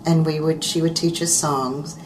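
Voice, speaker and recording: Low-voiced older woman telling stories. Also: moderate pace, background noise. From Virginia, USA. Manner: relaxed, chatty storytelling with soft laughs. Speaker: interview guest, radio producer. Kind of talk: casual interview chat. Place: radio studio.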